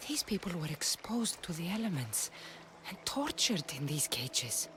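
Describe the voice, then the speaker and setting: An actress playing a tough, protective Greek mercenary in a video game, with a Greek accent and a direct, resolute manner. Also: female voice, lowish voice, sing-song, measured pace, noisy background. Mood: grim, troubled. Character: tough adult female Greek mercenary warrior, protective and defiant. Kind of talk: video game cutscene acting.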